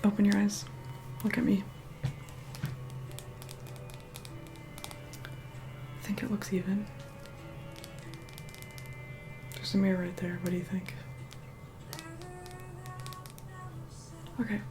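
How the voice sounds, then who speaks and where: A woman playing an aloof, vain young vampire in a soft whispered ASMR roleplay, unhurried, dry and mildly condescending. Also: level tone, lightly acted, poor audio. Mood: focused, bossy. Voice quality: soft, breathy whispering mixed with low murmur. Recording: music playing behind close whispered voice.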